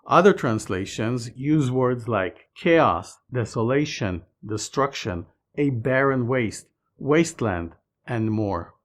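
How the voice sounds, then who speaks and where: A man, a Hebrew speaker, calmly narrating a scripted language lesson. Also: mid-range voice. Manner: calm, explanatory, measured delivery. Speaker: Hebrew teacher.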